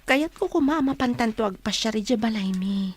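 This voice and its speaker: A woman's voice: on the high side, expressive, normal speed, husky. A woman with an Ilocano accent playing a grateful, lovestruck single mother in a radio drama, sentimental and heartfelt. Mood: affectionate.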